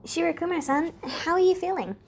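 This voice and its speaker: A woman's voice: very high voice, lively intonation, a little fast, pitched above the performer's own voice. A woman voicing a confident, kindly teenage girl hero in a story reading. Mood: cheery.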